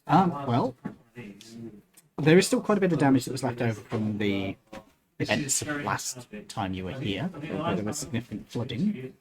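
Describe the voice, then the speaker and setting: A man runs a tabletop game as its game master. His voice sits at an ordinary male pitch, and he moves between casual narration and banter. Other voices chatter clearly behind him. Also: animated, normal speed, rough recording.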